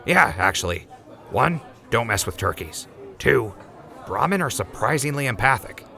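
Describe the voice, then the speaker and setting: A man's voice: medium pitch, very animated, normal speed, creaky, pushed and bright, heavily acted. A man playing an easygoing young rancher who jokes with his crew, in a fan audio drama. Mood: laid-back. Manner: laid-back, joking.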